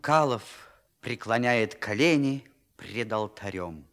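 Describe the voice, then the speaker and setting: A man narrating a radio play in a scripted, theatrical storytelling style with deliberate pauses. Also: lowish voice, expressive, fairly bright tone. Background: music with violins.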